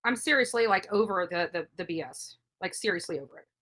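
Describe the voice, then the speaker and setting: A woman's voice: mid-range voice, fast, fairly bright tone. A woman live streamer ranting emphatically and indignantly, repeating herself for emphasis. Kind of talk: impassioned live stream rant.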